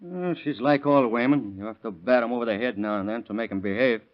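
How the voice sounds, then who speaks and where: A man's voice: medium pitch, fast, lightly acted. A man playing a hot-tempered, blunt young father in a 1930s radio crime drama. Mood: blunt, grumbling. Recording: dull lo-fi vintage radio recording.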